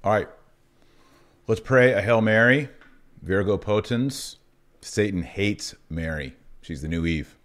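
A man's voice: on the low side, takes it slowly, pauses often, fairly bright tone. A man hosting a religious podcast in a casual, informal and colloquial chatting style. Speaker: podcast host.

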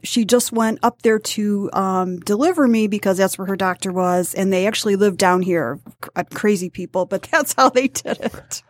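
A woman's voice: mid-range voice, normal speed, no echo. A middle-aged woman from Michigan, a grandmother, talking as a podcast guest in a chatty way that often breaks into laughs. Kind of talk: casual interview chat.

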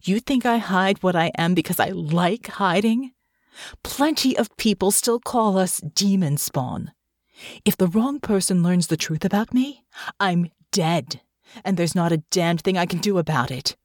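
A woman's voice: lowish voice, big pitch swings, measured pace, no echo, studio quality. A woman voicing a bitter, guarded, demon-blooded woman in an audiobook, with a curt, sardonic, muttering and hissing delivery. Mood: angry, pained. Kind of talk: audiobook character dialogue.

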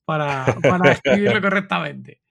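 A man co-hosting a casual podcast interview, chatty and enthusiastic, quick with praise. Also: a little high, gravelly, a crowd in the background. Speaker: podcast co-host.

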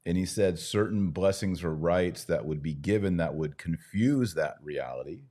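A man's voice: low-pitched, lively intonation, moderate pace, dark tone. A man giving conversational commentary as a podcast host.

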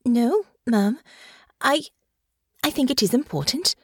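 An eager young noblewoman, as a woman puts her on, pitched up and lively, tripping over her own words when pressed. Her earnestness runs through every line. The sound is close and dry. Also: breathy edge, no room sound, heavily acted. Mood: earnest, flustered. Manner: eager, halting, stumbling restarts.

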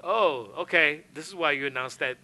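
A man's voice: on the high side, normal speed, sharp tone. A man co-hosting a casual wrestling podcast, talking conversationally with wry commentary. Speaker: podcast co-host.

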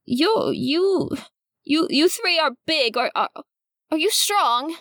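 A woman doing a jittery little bilby, high and stammering. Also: expressive, takes it slowly, fairly bright tone, dry recording, clean studio sound, exaggerated voice. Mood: timid. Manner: timid, stammering.

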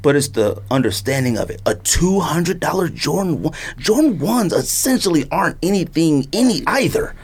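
A middle-aged man in casual podcast conversation. His pitch is highly animated, swooping up and down. He is emphatic and opinionated, repeating short phrases to press his point. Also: normal speed, hardly any pauses, husky. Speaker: sneaker podcast co-host. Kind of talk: casual chat.

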